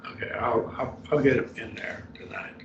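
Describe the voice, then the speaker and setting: An older man with a raspy, gravelly voice teaches a Bible class in a plain-spoken way, often letting his sentences fade. His low voice comes from some distance in an echoey room. The recording sounds dull and muffled. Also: normal speed, noisy background. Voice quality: raspy after throat surgery. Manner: plain-spoken, explains word by word, often trails off softly. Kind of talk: Bible study class lecture. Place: church classroom.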